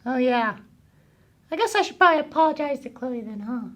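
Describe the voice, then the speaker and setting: A woman's voice: high-pitched, animated, a little fast. A woman voicing a childlike, hesitant young boy puppet in a children's puppet show. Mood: curious, earnest. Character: glum, confused young boy puppet named Bobby.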